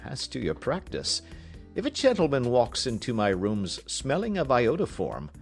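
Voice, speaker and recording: A man voicing a brisk, self-assured gentleman detective in an audiobook, precise, emphatic and teasing. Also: mid-range voice, wildly swooping pitch, faint music behind, pitched above the performer's own voice. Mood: brisk, confident. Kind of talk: audiobook character reading.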